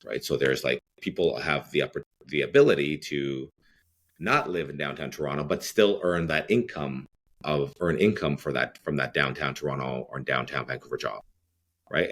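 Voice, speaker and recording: A man's voice: extremely low pitch, moderate pace, a bit bright. A middle-aged man from Greece, a company head as podcast guest, talking in a rambling way with many fillers and restarts. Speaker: real estate CEO guest. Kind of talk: podcast interview.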